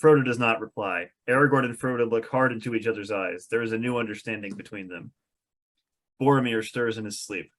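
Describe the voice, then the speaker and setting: A man's voice: on the low side, a little fast, a bit bright. A man narrating stage directions from a fantasy script at a table read.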